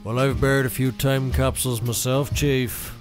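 A man's voice: medium pitch, level tone, moderate pace, music in the background, close to an everyday voice. An actor playing an odd, earnest maintenance man in a comedy audio drama.

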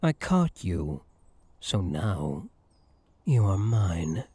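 A man's voice: low voice, sing-song, warm tone, breathy edge, dry recording, full character voice. A man playing a cocky, possessive elf hunter in an intimate ASMR roleplay, smug, teasing and seductive. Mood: possessive, gloating. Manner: smug, teasing, slow and deliberate. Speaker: voice actor in audio roleplay.

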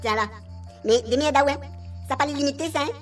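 A man with a Réunion Creole accent playing an insistent, excitable fortune-telling medium in a comic radio serial, in a squeaky voice. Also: fairly quick. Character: excitable male medium pestering a spirit for information. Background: music under the voice.

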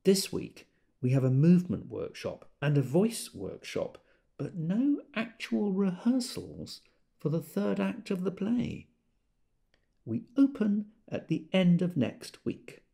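A man's voice: high-pitched, very animated, slow pace, creaky, mellow, exaggerated voice. A man playing a gossipy stage actor in an audio drama monologue, arch and declamatory with dry disdain. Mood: wry, disdainful.

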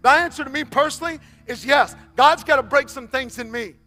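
A man in his forties, a pastor preaching a sermon on a church stage, swinging from loud exhortation to soft, near-whispered appeals. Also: very high-pitched, fairly quick.

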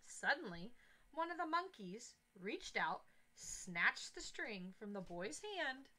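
Bright, lively woman reading to children. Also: on the high side. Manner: expressive, deliberate storytelling with emphatic phrasing. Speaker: teacher reading aloud to young children. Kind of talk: children's picture book read-aloud. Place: small indoor classroom-style corner. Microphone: headset boom mic.

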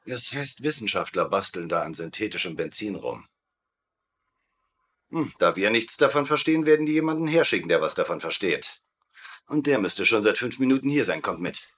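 An actor playing a wisecracking colonel who leads prisoners of war, brisk, sardonic and commanding, in a dubbed sitcom. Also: male voice, lowish voice, big pitch swings, fairly bright tone, slight background noise. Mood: bossy.

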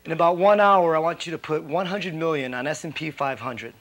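A man's voice: fairly high pitch, brisk, fairly bright tone. An actor in his thirties playing a slick, brash investment manager who is evasive under pressure, in a corporate training-film drama. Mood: agitated, forceful. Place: indoor office.

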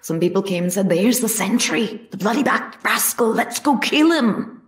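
A woman's voice: medium pitch, lively intonation, moderate pace, close to an everyday voice. A woman acting a plain-spoken, devout soldier's wife testifying firmly and formally in a historical courtroom drama. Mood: firm, earnest. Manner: firm, formal, period phrasing. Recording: roomy echo, distant mic.

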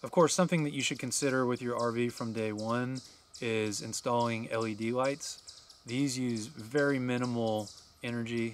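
A man in his forties gives practical camping tips to the camera in a steady, explaining way, speaking outdoors at a wooded campsite. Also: medium pitch, a little hiss or hum. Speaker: RV travel vlogger. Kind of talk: instructional vlog to camera.

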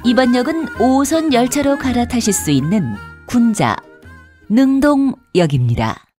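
High, melodic woman making formal transit announcements. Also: expressive, measured pace, over music. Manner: formal, polite, clearly enunciated. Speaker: subway announcer. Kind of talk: scripted public transit announcement.

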